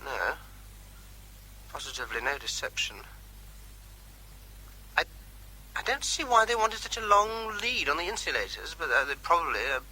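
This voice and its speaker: Army bomb disposal captain, very bright forceful voice, halting, methodical, wry, radio drama, noisy. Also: male voice, on the high side, big pitch swings, full character voice. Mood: puzzled, wry. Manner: halting, thinking aloud, wry. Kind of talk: radio drama, running report by field telephone.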